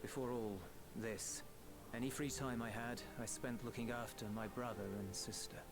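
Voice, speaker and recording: An actor as an earnest young soldier. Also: male voice, mid-range voice, fairly even intonation, close to an everyday voice. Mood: earnest, reflective. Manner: measured, sincere, reflective. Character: earnest, humble young soldier, loyal and thoughtful. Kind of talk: video game voice acting. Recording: game music behind the voice.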